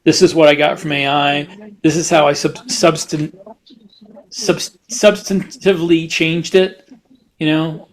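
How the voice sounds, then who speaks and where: Higher-pitched man in halting podcast chat. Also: steady tone, low-quality recording. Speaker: podcast co-host and fiction writer.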